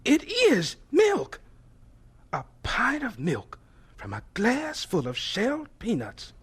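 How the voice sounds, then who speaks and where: An actor playing a gentle, devout older professor in a radio drama, with a thin, breathy voice and a stammering, reverent manner. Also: male voice, very high voice, wildly swooping pitch, heavily acted. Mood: absorbed, wondering. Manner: halting, stammering, slow and reverent.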